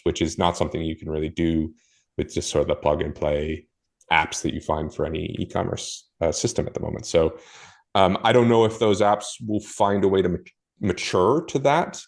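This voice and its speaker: A man talking casually as a podcast guest, explaining his work thoughtfully with frequent fillers and self-corrections. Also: low-pitched. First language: not Portuguese. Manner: thoughtful, many fillers and restarts. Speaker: guest, e-commerce marketer.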